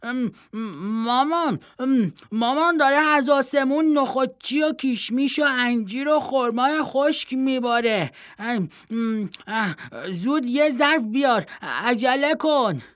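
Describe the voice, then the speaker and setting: A man voicing a naive, simple-minded young fool in a read-aloud folktale, talking in a childlike, pleading, excitable way. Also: sits high, no room sound, clean studio sound. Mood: excited. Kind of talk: storybook reading.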